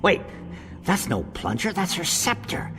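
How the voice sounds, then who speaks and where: An actor playing a pompous, roguish tomb raider with wry, theatrical delivery in a comic radio play. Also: male voice, sits high, very animated, unhurried, pushed and bright, slight background noise, full character voice. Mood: scheming, wry. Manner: grandiloquent, alliterative, theatrical. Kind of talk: comic science-fiction radio play acting. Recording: long reverb, music behind.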